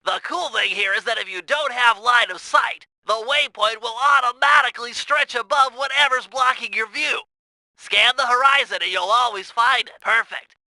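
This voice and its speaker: A man's voice: very high-pitched, moderate pace, pushed and bright, no echo, full character voice, low-quality recording. A man voicing a brainy, fussy turtle mission planner in a video game, nasal and precise, explaining things in wordy technical terms. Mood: eager, instructive. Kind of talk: video game tutorial voice acting.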